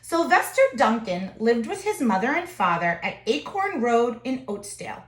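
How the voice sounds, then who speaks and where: Female storyteller, teacher type. Higher register, expressive and deliberate read-aloud delivery, noticeably echoing room. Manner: expressive, deliberate storytelling with short phrases and emphasis. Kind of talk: children's picture book read-aloud.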